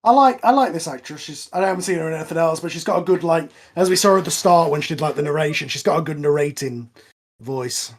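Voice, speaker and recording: A man's voice: on the high side, fast. A man chatting casually through a film commentary with a wry, joking manner. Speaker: audio drama writer on cast commentary track.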